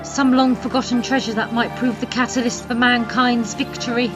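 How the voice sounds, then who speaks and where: Music runs under a slightly dull recording of a woman playing a human alchemist trapped and determined to survive. She narrates in the first person with grave, theatrical delivery and a fairly high pitch, in gothic fantasy audio drama style. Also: steady tone, moderate pace, natural voice. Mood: grave awe.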